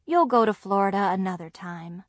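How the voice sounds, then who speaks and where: A woman voicing a gentle, tired mother who speaks softly and quietly. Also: mid-range voice, moderate pace, no echo, clean studio sound, lightly acted. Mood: soothing. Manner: soft and quiet.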